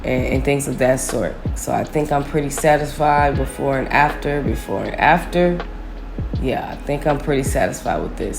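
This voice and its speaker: A woman walks through a photo retouch in a loose, filler-heavy tutorial manner. Her voice sits very low and sounds scratchy from a cold. The recording has an echoey room with faint music underneath. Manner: relaxed, many fillers and asides. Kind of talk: casual screen-recorded editing tutorial.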